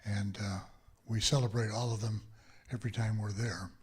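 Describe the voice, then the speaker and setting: A man who belongs to the temple introduces the guest speaker haltingly, with many fillers. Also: lowish voice, fairly even intonation, breathy edge. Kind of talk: informal introduction.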